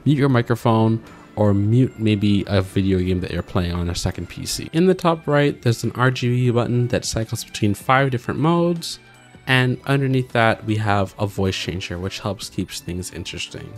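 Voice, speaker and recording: A man's voice: mid-range voice, darkish tone. Man narrating a tech review over music. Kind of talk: scripted product review voice-over. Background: background music.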